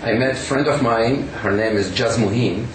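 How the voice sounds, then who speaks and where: A man's voice: medium pitch, moderate pace, soft background music. A man being interviewed, talking emphatically with many fillers. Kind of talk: casual recounting. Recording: echoey room, distant mic, faint noise.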